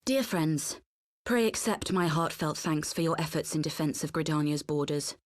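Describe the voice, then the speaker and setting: Gracious game stateswoman female actor: low voice, formal, dignified, stately. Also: normal speed, close to an everyday voice. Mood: gracious, solemn. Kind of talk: dramatic video game cutscene acting.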